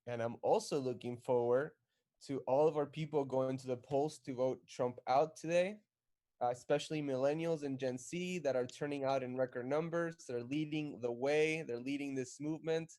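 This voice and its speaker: A man co-hosting an activist live stream, speaking in an energetic, rallying way and introducing guests. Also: medium pitch, moderate pace.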